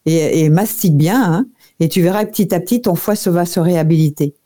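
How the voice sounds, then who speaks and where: Low mellow woman giving nutrition advice. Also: a little fast. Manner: direct, familiar, instructive. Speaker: nutrition expert guest. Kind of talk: advice on a radio show.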